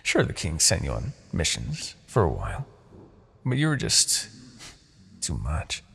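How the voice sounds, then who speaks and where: A man playing a smug, seductive, possessive Demon King in a fantasy ASMR roleplay, with a gravelly, breathy voice and theatrical, taunting delivery. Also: extremely low pitch, wildly swooping pitch, full character voice. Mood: mocking, condescending. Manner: theatrical, taunting, drawn-out phrasing with soft asides.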